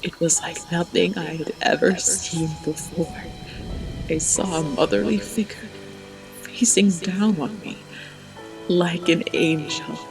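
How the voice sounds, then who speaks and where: A woman voicing an elderly, gentle grandmother pony turned tortoise, reflective, in an audio drama. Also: on the low side, steady tone, takes it slowly, vocal fry, music in the background, poor audio. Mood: reflective, awed.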